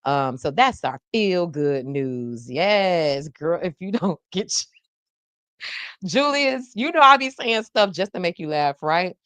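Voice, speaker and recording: A woman's voice: fairly low pitch, slow pace, clean tone, no echo. A woman hosting a live news podcast, talking casually and playfully to her viewers in the chat. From South Florida. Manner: animated, playful, talks to the chat. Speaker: podcast host.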